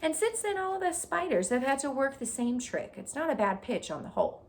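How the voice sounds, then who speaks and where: A woman's voice: sits high, very animated, fairly quick, a little mellow, slight background noise, voice pushed up. A woman voicing a female spider in a children's storybook, with a thin, pleasant voice and a frank, breezy, bloodthirsty manner. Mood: defensive, proud. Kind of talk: children's storybook read aloud.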